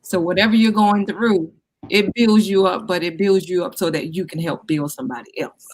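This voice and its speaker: A woman giving sincere conversational remarks as a show guest, in a measured, encouraging way. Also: on the low side, rough recording. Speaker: cast member, show guest.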